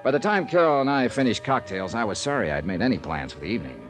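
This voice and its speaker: A man's voice: on the low side, sing-song, fast talker. An American man acting as a wry insurance investigator, narrating his case conversationally in a 1950s radio drama. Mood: brisk, wry. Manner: wry, conversational first-person storytelling. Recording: 1950s radio broadcast with background music.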